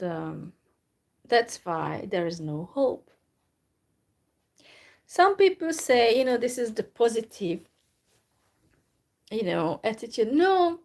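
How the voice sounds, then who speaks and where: A woman from Hungary with a Hungarian accent, talking in a live video monologue in a downcast, resigned way, full of hesitant pauses. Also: on the high side, darkish tone. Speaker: YouTuber.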